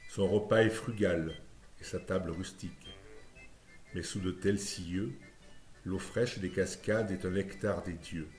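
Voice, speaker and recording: A man with a very low voice recites poetry at a slow pace. His phrasing is lyrical and measured, set out line by line. Soft music plays behind him.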